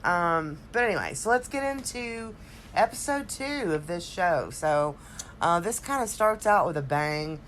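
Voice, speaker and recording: A woman with a very low, throaty voice, slightly stuffed up from a cold. She co-hosts a casual recap podcast and rambles along with pauses and hesitations. There is some steady noise behind her. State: recovering from a cold, slightly congested. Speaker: podcast co-host.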